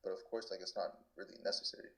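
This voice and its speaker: A man's voice: low voice, very fast. A man in his twenties from Canada, answering interview questions casually and loosely, with lots of filler words and restarts. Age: 20s. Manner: relaxed, many "like" fillers and restarts. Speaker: guest soccer player.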